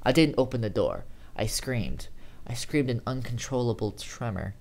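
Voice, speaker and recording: Guy reading a creepy first person story out loud, kinda theatrical, normal mid pitched voice. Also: very animated, moderate pace, vocal fry. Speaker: amateur horror story reader. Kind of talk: dramatic first-person horror story narration.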